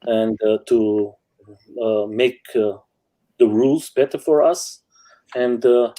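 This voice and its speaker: Halting man, warm voice. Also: mid-range voice, low-quality recording. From Portugal. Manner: halting, many fillers, laughs while telling stories. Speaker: guest rider. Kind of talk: casual chat in non-native English. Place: home.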